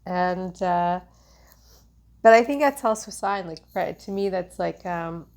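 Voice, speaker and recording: A woman's voice: on the low side, a little slow, darkish tone. A woman giving a tarot reading in a loose, meandering way, full of fillers and restarts. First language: English. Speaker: tarot reader. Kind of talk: casual spiritual tarot reading.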